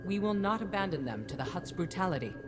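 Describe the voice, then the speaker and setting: A woman's voice: fairly low pitch, sing-song, fast, music in the background. A woman playing a commanding head of state in a video game, speaking in a firm, oratorical way. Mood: resolute. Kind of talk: scripted video game acting.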